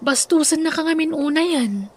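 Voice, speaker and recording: A woman's voice: high voice, animated, moderate pace. A woman acting a scolding but apologetic older sister in an Ilocano radio drama, with an Ilocano accent. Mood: apologetic, embarrassed.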